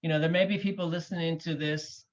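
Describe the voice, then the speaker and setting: A man with a fairly high-pitched voice hosts a conversational interview. He is recorded over a video call, with dull, lo-fi sound. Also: fairly even intonation, fast. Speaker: interview host, admissions consultant. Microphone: video call microphone.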